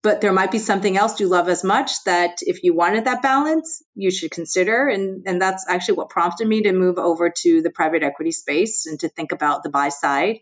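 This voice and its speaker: A woman's voice: fairly low pitch, a little fast, few pauses. A middle-aged American woman whose first language was Chinese, speaking candidly as a podcast guest, with many "you know" fillers. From United States. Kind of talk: conversational interview.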